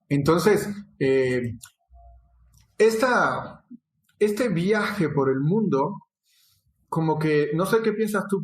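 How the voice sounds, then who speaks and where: A man from Cuba who hosts an interview, asking reflective, musing questions with occasional fillers. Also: a little high. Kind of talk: conversational interview. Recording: roomy echo.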